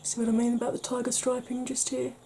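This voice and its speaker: A woman from the UK, a beauty vlogger talking through a makeup tutorial in a quiet voice that keeps slipping into whispers. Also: a little high, completely flat delivery, quick pace, vocal fry, a little hiss or hum. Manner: quiet, soft-spoken, drifting into whispers.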